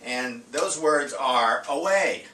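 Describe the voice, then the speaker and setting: A man gives an informal classroom-style lesson in a bright, mid-pitched voice. He is hesitant and halting, often stopping on fillers. The sound is roomy, with the mic some way off. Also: lively intonation. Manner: hesitant, halting, with frequent long filler pauses. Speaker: teacher presenting a reading lesson. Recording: roomy, distant mic with faint noise.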